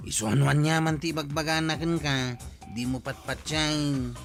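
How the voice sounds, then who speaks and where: A man's voice: fairly high pitch, fairly even intonation, moderate pace, close to an everyday voice. Casting: male actor for nosy, insistent village relative, Ilocano accent, music underneath. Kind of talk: audio drama acting.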